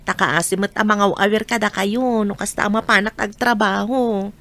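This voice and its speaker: A woman's voice: mid-range voice, fairly even intonation, normal speed, a bit bright, natural voice. A woman playing a hard-working, generous mother in an Ilocano radio drama, with a northern Philippine accent. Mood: warm, giving.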